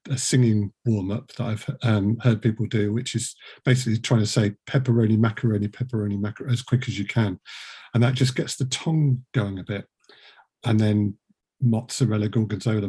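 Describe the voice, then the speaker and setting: A man hosting a relaxed group interview, talking in a jokey, rambling, self-deprecating way. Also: on the low side, slightly rough. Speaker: podcast host and producer. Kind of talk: casual group chat interview.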